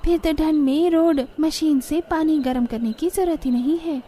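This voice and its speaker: A woman's voice: high-pitched, moderate pace, warm tone, slight background noise, pitched above the performer's own voice, lightly acted. A woman voicing a resourceful, cheery young village daughter-in-law in a cartoon story, eager to explain. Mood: proud.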